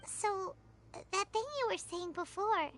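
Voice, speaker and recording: Tiny game sidekick: extremely high, squeaky, childlike, curious and blunt, clear background noise of music and clicks. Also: female voice, steady tone, slow pace, fairly bright tone, heavily acted. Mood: curious, probing. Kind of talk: video game character acting.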